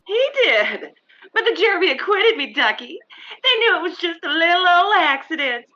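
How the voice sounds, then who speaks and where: A sugary blonde femme fatale coos in a very high, bright voice. She is coy and gushing in the manner of old radio comedy, on slightly muffled audio. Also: big pitch swings, moderate pace, full character voice. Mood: breezy, coy.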